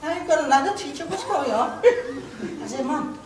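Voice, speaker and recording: A man telling a funny personal story in a humorous speech contest, pausing for laughs. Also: very high voice, animated, a crowd in the background. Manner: telling a comic story to an audience with pauses for laughs. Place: large hall, public speaking contest. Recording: echoey hall from far off, noisy. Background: audience laughter.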